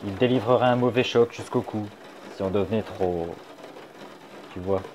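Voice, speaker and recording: A man voicing a sly, wisecracking young male fox in a fan dub of a comic, wry and teasing, turning soft and confiding. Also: lowish voice, moderate pace, natural voice.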